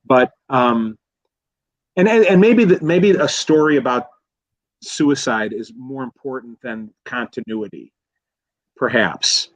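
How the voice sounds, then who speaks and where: A man in a casual film discussion as a guest expert, speaking in a halting, rambling way and often correcting himself. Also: medium pitch, dry recording, poor audio. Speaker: guest expert, college professor.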